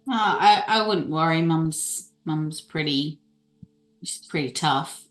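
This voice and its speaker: A woman playing a tabletop game, chatting in character in a halting, casual way. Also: low-pitched, echoey room. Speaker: player in a tabletop roleplaying game.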